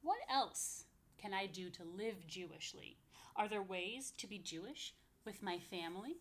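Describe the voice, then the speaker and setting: American woman for kids' story time, expressive swooping intonation, chatty and questioning, slight background noise. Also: mid-range voice, measured pace. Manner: expressive, asks listeners playful questions. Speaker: rabbi, story time host for young children. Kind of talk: children's picture book read-aloud with chatty asides.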